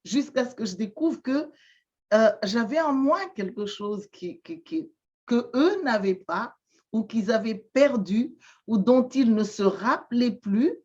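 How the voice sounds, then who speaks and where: A woman from Madagascar, whose first language is not French, answering interview questions slowly with many pauses, fillers and repeated words. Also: on the high side, animated, darkish tone, lo-fi sound. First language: Malagasy. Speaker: guest, shamanic therapist.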